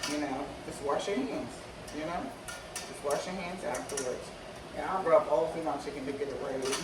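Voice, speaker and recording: A woman with a slightly hoarse voice casually talking her viewers through a cooking recipe. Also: sits low, moderate pace, heavy background noise. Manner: relaxed, chatty, talks to viewers as y'all. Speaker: cooking vlogger. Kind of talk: casual cooking tutorial chat. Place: home kitchen. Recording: roomy kitchen, far from the mic, loud kitchen clatter.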